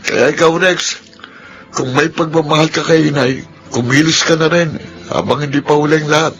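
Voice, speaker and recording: A man's voice: fairly high pitch, fairly even intonation, moderate pace, a bit bright, rough recording. A man playing an earnest, responsible young eldest brother in radio drama dialogue. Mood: earnest, resolute.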